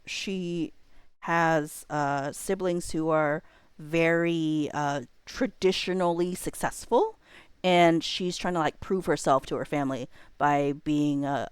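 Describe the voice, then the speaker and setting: A young woman chatting casually on a livestream, thinking out loud and rambling as she weighs her options. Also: low-pitched, clear, resonant tone, no echo.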